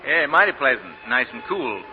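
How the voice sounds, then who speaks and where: Actor as easygoing Southern gentleman, polite drawl, muffled old radio broadcast, music behind. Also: medium pitch, big pitch swings, normal speed, close to an everyday voice. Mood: wary courtesy. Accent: American Southern. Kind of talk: 1940s radio drama acting.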